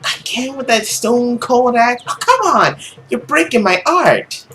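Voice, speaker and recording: A man's voice: very high-pitched, sing-song, unhurried, sharp tone, pitched above the performer's own voice, full character voice. A man voicing a cheerful, lovestruck teenage boy, playful and pleading, in a visual novel.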